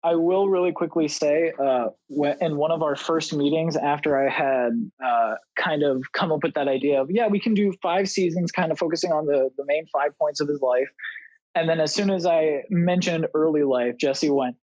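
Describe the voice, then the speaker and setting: A man's voice: a little high, normal speed, a little mellow, no room sound, muffled recording. A man speaking as a podcast guest and writer in a casual panel discussion.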